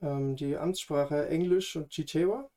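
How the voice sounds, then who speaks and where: A man's voice: fairly high pitch, unhurried, dark tone. Hesitant man hosting a podcast. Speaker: podcast host. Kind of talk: hosting a conversational podcast, introducing guests.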